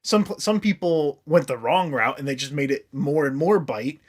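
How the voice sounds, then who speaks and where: A man's voice: on the high side, moderate pace. An American man from the Midwest co-hosting a podcast in casual comic chat, rambling through stories with crude, loose wording. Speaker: podcast co-host.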